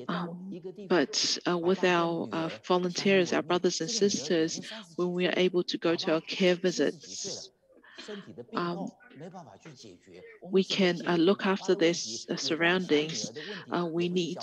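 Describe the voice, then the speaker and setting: A woman giving a live English interpretation of a talk, halting often with ums, uhs and restarts. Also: on the low side, low-quality recording. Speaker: simultaneous interpreter. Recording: second voice speaking faintly underneath.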